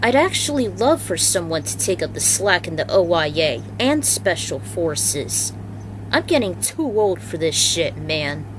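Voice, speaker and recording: A woman's voice: lowish voice, big pitch swings, unhurried, noisy background. A woman voicing a boastful, weary male veteran soldier in a blunt, cocky manner for a story. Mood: confident, weary.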